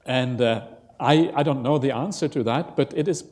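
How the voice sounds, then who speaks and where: Mellow-voiced monk man, reverberant hall. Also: mid-range voice, moderate pace. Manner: reflective, hesitant, many "uh" fillers and questions. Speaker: Buddhist monk teacher. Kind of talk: workshop discussion. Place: Buddhist centre hall.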